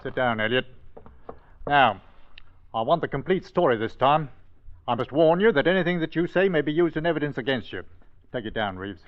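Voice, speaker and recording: Man acting a suspicious radio-drama police inspector, lively intonation. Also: mid-range voice, a little fast, pauses often, natural voice. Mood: stern, pressing. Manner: probing, formal, insistent. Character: brisk, suspicious male police inspector, courteous but firm. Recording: dull old radio recording.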